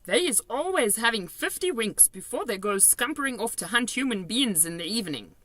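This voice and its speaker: A woman voicing a kindly, excitable old male giant from a children's storybook, earnest and emphatic, with muddled grammar and nonsense words. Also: on the high side, brisk, no echo, voice pushed up, heavily acted. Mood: explaining.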